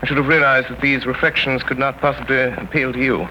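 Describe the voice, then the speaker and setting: A man playing a shrewd, courteous, mocking gentleman detective in 1930s radio drama style, urbane, wry and precise, on a scratchy old broadcast. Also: mid-range voice, steady tone, fast, lo-fi sound. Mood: cool, sardonic.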